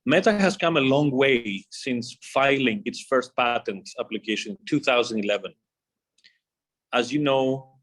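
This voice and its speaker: A man with a medium-pitched voice gives a scripted corporate presentation in the role of a company executive. He reads formally and in measured fashion, setting out his material in short phrases. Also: fairly bright tone.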